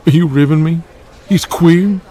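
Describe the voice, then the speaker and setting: A man's voice: on the high side, moderate pace, mellow, voice pushed up, close to an everyday voice. Man putting on a brash, crude Texan wrestler. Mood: incredulous. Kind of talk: audio drama dialogue.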